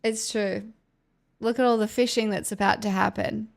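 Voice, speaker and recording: A woman's voice: medium pitch, fairly even intonation, normal speed, vocal fry. A young Australian woman chatting casually and frankly on a live stream, rambling to her viewers; she says her voice feels strained. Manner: rambling, frank, talks to chat.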